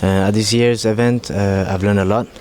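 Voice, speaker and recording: A man in his twenties answering interview questions fluently and calmly. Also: fairly low pitch, moderate pace. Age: 20s.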